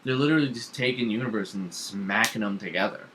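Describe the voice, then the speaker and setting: A young man co-hosting a casual chat, quick to interject with his own opinions. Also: lowish voice. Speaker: co-host, the host's son. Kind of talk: casual chat about comics. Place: kitchen at home. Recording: faint background noise.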